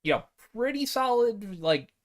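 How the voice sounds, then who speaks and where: A man chatting casually as a podcast co-host, with a halting, hedging way of giving opinions. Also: high voice, big pitch swings. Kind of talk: casual group chat.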